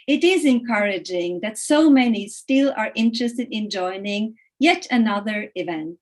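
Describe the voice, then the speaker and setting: A middle-aged woman with a fairly high voice delivers a formal welcome in English, her third language after Norwegian and Italian. She speaks in a measured, earnest way, pausing and restarting often. The sound is that of a video call. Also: darkish tone. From Norway and Italy. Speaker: conference opener. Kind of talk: formal welcome address, read.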